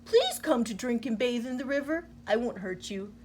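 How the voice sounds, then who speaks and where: A woman voicing a big, bossy crocodile in a children's storybook, with loud, dramatic delivery. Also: lively intonation, moderate pace, slight background noise, close to an everyday voice. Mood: grateful. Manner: booming shouts and wailing groans. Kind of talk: children's storybook read-aloud.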